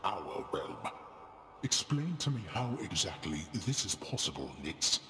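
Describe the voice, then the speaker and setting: An actor playing an imperious, wrathful god of the underworld in a video game, pompous and scornful. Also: male voice, low-pitched, sing-song, unhurried, pushed and bright, exaggerated voice. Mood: wrathful, accusing. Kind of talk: video game character acting. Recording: echoing, long hall reverb.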